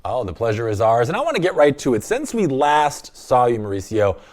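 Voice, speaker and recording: A middle-aged man hosts a news show and reads his stories in a mid-pitched voice. His intonation swings widely, and he greets his guest with an upbeat, lively manner. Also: fairly quick. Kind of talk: scripted news read and interview.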